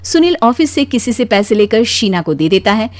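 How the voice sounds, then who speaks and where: A woman narrating a scripted animated story as its storyteller. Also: on the high side, moderate pace.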